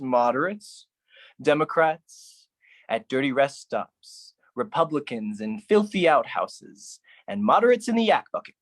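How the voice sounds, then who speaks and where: A man performing his own writing as a spoken-word solo artist, speaking deliberately with pauses. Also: a little high, fairly bright tone. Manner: slow, deliberate delivery with pauses.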